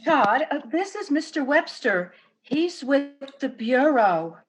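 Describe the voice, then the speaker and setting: A woman playing a dutiful, gently firm wife and mother in a 1950s-style radio drama. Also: high-pitched, big pitch swings, takes it slowly, heavily acted, poor audio. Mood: polite, coaxing.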